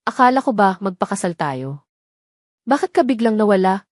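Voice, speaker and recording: A woman's voice: mid-range voice, big pitch swings, normal speed, no room sound, clean studio sound. A woman voicing an obsessive, threatening male ex-lover in an audio love drama. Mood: obsessive, menacing. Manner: threatening, possessive.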